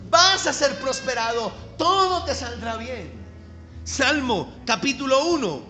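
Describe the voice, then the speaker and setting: A man with a coastal Colombian accent preaching a sermon to a congregation, emphatic and slowing down to stress key phrases. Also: very high voice, sharp tone, slight background noise. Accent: Colombian Caribbean coastal. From Caribbean coast of Colombia. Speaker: pastor. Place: church hall. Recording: echoey church hall.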